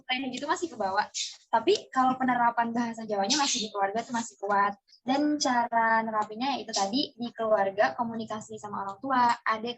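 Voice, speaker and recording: Young woman from east java, kinda husky and high, chatty with lots of uh and gitu. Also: poor audio. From East Java, Indonesia. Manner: chatty, frequent hesitations and "gitu" fillers. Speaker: interviewee. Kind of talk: casual interview answers.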